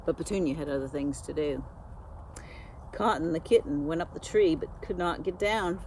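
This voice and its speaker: A woman reading a children's storybook aloud, expressively and with dramatic pauses. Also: sits low, a little mellow, a little hiss or hum, poor audio. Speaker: school media specialist reading aloud.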